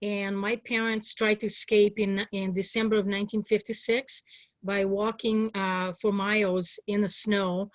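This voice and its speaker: A woman in her sixties, from Hungary, speaks as a political guest in an interview. Her voice is mid-pitched, with very little room sound around it. She gives personal testimony in an earnest, emphatic, pleading way. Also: flat delivery, unhurried, dry recording. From born in Hungary. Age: 60s.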